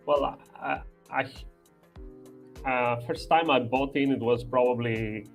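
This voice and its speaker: A man's voice: medium pitch, steady tone, soft background music. Hesitant young man from Albania. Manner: hesitant, many "uh" pauses, slightly broken English. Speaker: guest. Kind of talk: casual chat.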